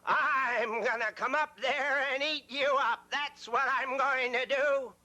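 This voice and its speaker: A man voicing an angry, bossy bridge troll who yells drawn-out threats. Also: extremely high pitch, fairly even intonation, pitched above the performer's own voice, full character voice.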